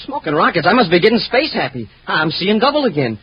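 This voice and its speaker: Excitable, high-pitched boyish space cadet. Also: male voice, fast talker, a little hiss or hum. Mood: playful, chipper. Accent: American. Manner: excitable, folksy exclamations. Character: eager, boyish young male space cadet sidekick. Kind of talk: 1950s radio adventure acting. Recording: muffled vintage radio recording.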